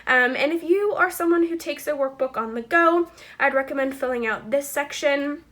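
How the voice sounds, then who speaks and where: Upbeat young woman with a high voice. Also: normal speed, fairly bright tone. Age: 20s. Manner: chatty and upbeat, addressing viewers directly. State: stuffy from a cold. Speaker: channel host. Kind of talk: casual budgeting walkthrough.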